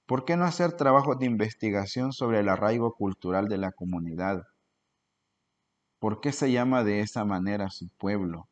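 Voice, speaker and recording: A man from El Salvador, giving an earnest informal talk full of rhetorical questions as a cultural promoter. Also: on the low side. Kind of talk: informal spoken lecture. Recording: dull lo-fi recording.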